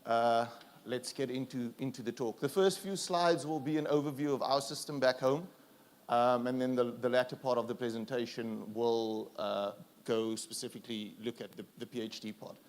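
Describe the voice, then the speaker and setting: A South African man whose first language is Afrikaans, giving a relaxed, self-deprecating conference presentation with fillers and restarts. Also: medium pitch, normal speed. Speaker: conference speaker.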